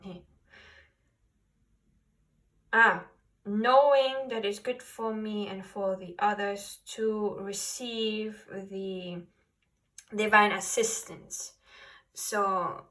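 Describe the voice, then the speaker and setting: Female tarot reader, non-native English, slow halting spiritual delivery, recorded off-mic at a distance. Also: medium pitch, fairly even intonation, a bit bright. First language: not English. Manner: halting, searching for words. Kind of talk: spiritual card reading to camera.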